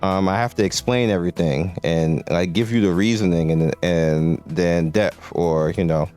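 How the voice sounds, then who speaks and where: A man's voice: sits low, normal speed, darkish tone, clear, resonant tone, soft background music. A man losing his voice, giving laid-back, slangy game commentary with lots of restarts. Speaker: mobile game content creator.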